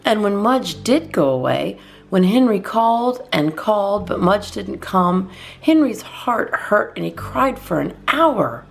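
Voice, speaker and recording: A woman reading a children's storybook aloud as a storytime host, expressively and in measured phrases with deliberate pauses. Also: mid-range voice, sing-song, gravelly.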